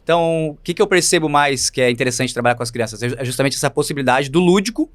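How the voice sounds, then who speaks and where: A man in his thirties from Santa Catarina with a local accent, a teacher speaking as a guest in a studio interview, playful and lively, often adding "né". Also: medium pitch, no echo. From Santa Catarina, Brazil. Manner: lively, playful, fast-talking with many "né". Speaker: guest, physical education teacher. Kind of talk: podcast interview.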